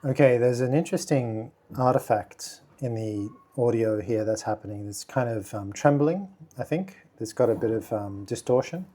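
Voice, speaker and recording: A man hosting a casual software tutorial, speaking quietly and thinking aloud with many fillers as he works. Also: medium pitch, normal speed, hardly any pauses. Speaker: video tutorial host. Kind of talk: casual screen-recording tutorial.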